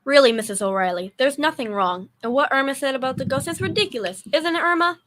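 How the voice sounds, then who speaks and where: Radio comedy straight woman female performer: young, dry, exasperated, slightly high and bright. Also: fast, slight background noise. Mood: exasperated, soothing. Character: sensible, sarcastic young woman, exasperated roommate. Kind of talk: 1940s radio comedy acting.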